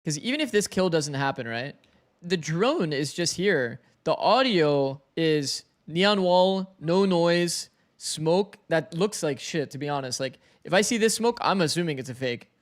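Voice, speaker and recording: Male streamer, slightly raspy, a touch high, chatty analyst who thinks out loud. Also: expressive, unhurried. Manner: talkative, thinks aloud, chats with viewers. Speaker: streamer co-streaming an esports match. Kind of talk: casual match analysis.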